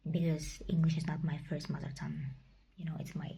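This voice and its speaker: Young woman, whispered vlog, mellow, flat delivery, hesitant. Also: sits low, brisk, a little hiss or hum. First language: Kazakh. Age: young adult. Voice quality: breathy whisper. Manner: whispered, hesitant, searching for words. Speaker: vlogger. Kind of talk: casual personal vlog.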